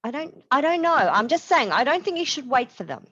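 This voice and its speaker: A woman's voice: sits high, sing-song, a little fast, a bit bright, no room sound, pitched above the performer's own voice. Woman doing pragmatic survivor guy in a zombie story, urgent, slightly muffled recording. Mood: guarded. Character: self-serving male survivor in zombie apocalypse, urgent.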